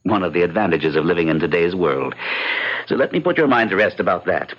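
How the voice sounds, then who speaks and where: Detached pragmatist, measured, expressive intonation, vintage radio drama, dull lo-fi sound. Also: male voice, low voice. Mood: dryly amused. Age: 30s. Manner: measured, dryly amused, articulate. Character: detached, pragmatic thirty-year-old bachelor, cool and articulate. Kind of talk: old-time radio drama acting.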